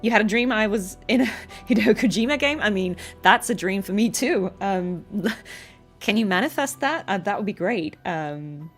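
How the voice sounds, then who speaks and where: A woman's voice: medium pitch, animated, normal speed, faint music behind. A woman chatting casually and affectionately to viewers on a livestream from home, giggly and chatty. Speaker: streamer and voice actress.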